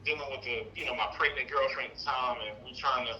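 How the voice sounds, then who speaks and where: A thirty-year-old man talking casually on a call, telling a personal story in a rambling, animated way. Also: medium pitch, fast talker, dull audio, a crowd in the background. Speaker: caller seeking advice, trucking business owner.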